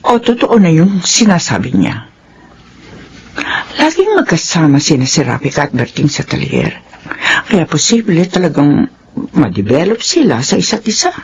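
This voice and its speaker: A woman's voice: sits low, huge pitch swings, normal speed, slight background noise, exaggerated voice. Worried strict mother, dull sound. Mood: brooding. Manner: brooding, suspicious. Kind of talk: Philippine radio drama acting.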